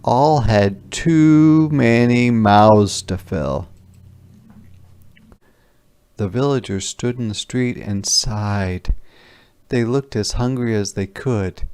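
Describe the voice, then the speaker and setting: A man narrating a children's story in a deliberate, clearly enunciated read-aloud. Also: lowish voice, darkish tone, dry recording. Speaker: storyteller reading a picture book aloud.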